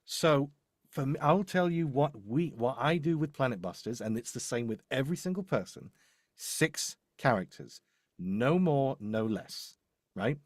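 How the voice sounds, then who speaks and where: A man's voice: medium pitch, expressive, measured pace, dry recording, clean studio sound. A man speaking off the cuff as a demo producer giving advice, emphatic, repeating his points and often checking in with "right?". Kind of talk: live coaching talk, unscripted.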